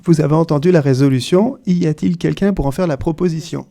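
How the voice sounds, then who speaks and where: A man chairing a town council meeting, reading formal statements in a measured way. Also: fairly high pitch, dark tone. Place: council chamber.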